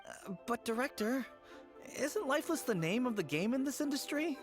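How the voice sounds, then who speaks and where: A timid young funeral parlor worker who stammers and hesitates, with a fairly high voice that swings up and down. Music plays behind him. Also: male voice, big pitch swings, normal speed. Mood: nervous. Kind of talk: game voice acting.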